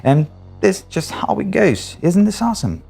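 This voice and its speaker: A man's voice: sits high, sing-song, normal speed, gravelly, warm tone, slightly rough. A man giving an informal, loosely phrased hands-on demonstration of a tech product. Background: music.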